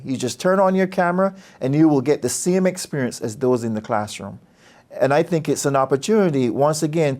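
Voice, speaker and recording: A man from Barbados, a business school dean speaking about his program in a measured, earnest, persuasive way. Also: mid-range voice, a little mellow. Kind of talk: promotional interview talk.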